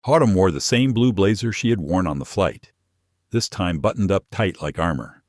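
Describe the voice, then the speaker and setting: A man narrates a scripted dramatic story. His voice sits very low and moves with lively intonation. The sound is dry, with no room around it. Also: normal speed, dry recording.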